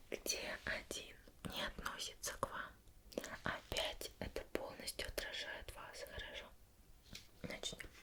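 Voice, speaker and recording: A whispered ASMR roleplay voice: a woman playing a female investigator who questions patiently and formally. Every phrase is hushed, measured and gentle. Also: close to an everyday voice. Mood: calm, instructive.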